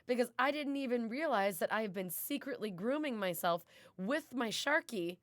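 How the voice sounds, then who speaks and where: A woman's voice: a little high, animated, moderate pace, fairly bright tone, no room sound, clean studio sound. A young woman co-hosting a casual podcast, rambling playfully with lots of restarts. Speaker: radio show co-host.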